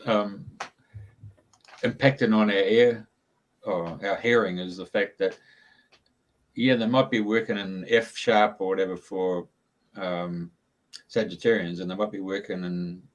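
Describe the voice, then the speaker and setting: A man co-hosting a conversation talks in a fairly low voice, pausing and trailing off as he looks for the right words. His delivery is halting and thoughtful. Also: fairly even intonation. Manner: hesitant, searching for words. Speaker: co-host. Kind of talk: casual conversation.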